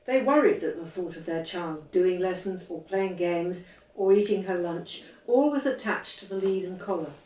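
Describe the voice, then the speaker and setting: A woman narrates a children's story expressively. Her pitch moves in a lively way, and the recording is roomy, with the voice set back from the microphone. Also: far from the mic. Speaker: audiobook reader.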